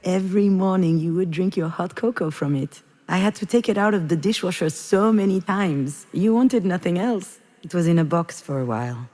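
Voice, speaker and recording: An actress playing a grieving, reflective screenwriter who confides her story in measured, intimate first-person narration for a video game. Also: low-pitched, darkish tone. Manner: measured, introspective, pausing between phrases. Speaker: narrator of a visual novel.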